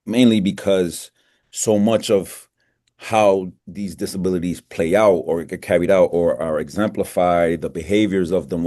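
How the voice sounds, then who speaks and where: A man with a low, warm voice answers a panel question over a video call. He speaks thoughtfully, pausing between phrases. The sound is dry. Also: no room sound. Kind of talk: panel discussion.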